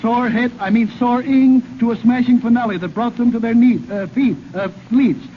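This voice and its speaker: A man's voice: very high voice, fairly even intonation, normal speed. A man playing a flustered, bumbling professor in 1930s radio comedy, stammering and correcting his garbled words. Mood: flustered, proud. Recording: muffled, very noisy 1930s radio transcription.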